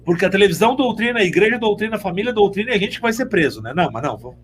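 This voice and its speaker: A man's voice: high-pitched, lively intonation, racing, a crowd in the background. A middle-aged man, chatting casually as a guest in an emphatic, joking, exclamatory manner. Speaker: podcast guest, school teacher. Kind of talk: casual animated chat.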